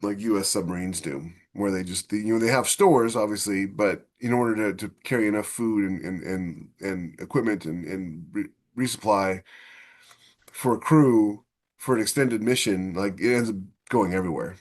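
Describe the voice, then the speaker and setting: A man in casual discussion as a podcast host, somewhat halting as he thinks aloud. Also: fairly low pitch.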